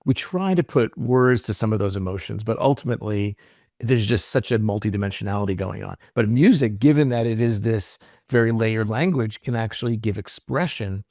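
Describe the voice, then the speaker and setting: Thoughtful man, lowish voice. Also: a little fast, a little mellow, no room sound, studio quality. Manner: thoughtful, explanatory, frequent "right?" and "um". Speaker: podcast guest, musician. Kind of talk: reflective interview talk.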